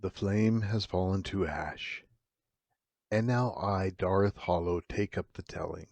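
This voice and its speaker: A man giving a slow, solemn and theatrical narration of a gothic horror story. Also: low-pitched, creaky, a little mellow, dry recording. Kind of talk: dramatic gothic audiobook narration.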